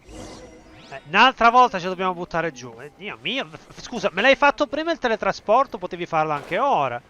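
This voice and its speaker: An Italian man commenting casually on a live game stream. His voice is fairly high for a man and very bright, with lively swings in pitch. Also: fast.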